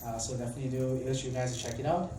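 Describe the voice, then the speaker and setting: A man's voice: medium pitch, steady tone, rapid-fire. A young man from Malaysia giving a casual conference talk, chatty and full of fillers, often asking the audience questions. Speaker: tech conference speaker. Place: conference hall. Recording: echoey hall, mic at a distance, faint background noise.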